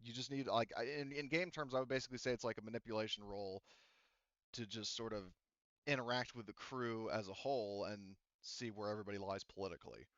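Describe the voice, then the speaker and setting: A man's voice: fairly low pitch, steady tone, fast, a bit bright, no echo, muffled recording. A man running a tabletop game as game master, relaying a character's offer in a rambling way with lots of fillers. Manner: rambling, many fillers like "you know" and "like".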